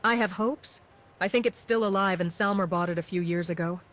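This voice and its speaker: A woman's voice: lowish voice, a little fast, a bit bright, close to an everyday voice. A woman voicing a stern, secretive female resistance agent in a fantasy video game. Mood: stern, serious. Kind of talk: video game character dialogue.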